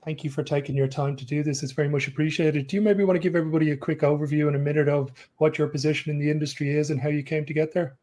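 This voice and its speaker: A man's voice: fairly high pitch, little pitch movement, rapid-fire, hardly any pauses, a little mellow. A man hosting a podcast interview, asking polite, formally worded questions. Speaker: podcast host.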